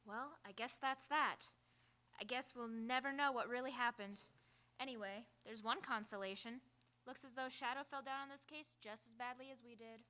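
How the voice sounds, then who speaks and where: Woman playing high-voiced, sharp police commissioner. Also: fairly even intonation, moderate pace. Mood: resigned, wry. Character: brisk, skeptical police commissioner. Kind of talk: live radio drama acting. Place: auditorium stage, live radio theatre.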